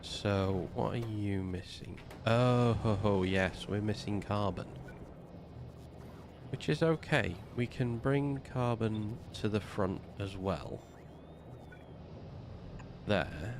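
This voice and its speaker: A man's voice: fairly low pitch, noisy background. A man streaming a game, talking through his building plans, snuffly from a slight cold. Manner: slow, muttering to himself while building. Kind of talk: casual gameplay commentary.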